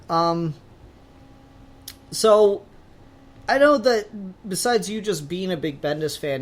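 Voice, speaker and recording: A man hosting a podcast in casual, rambling conversation with a joking manner. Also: a little high. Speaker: podcast host.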